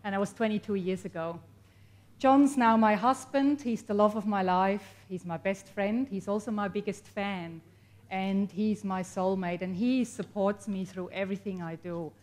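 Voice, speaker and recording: A woman's voice: medium pitch, normal speed, a little mellow. Chatty Swiss woman addressing a workshop. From Switzerland. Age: 40s. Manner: chatty, laughs often, engages the audience. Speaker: workshop speaker. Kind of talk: motivational talk to a live audience. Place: workshop event room.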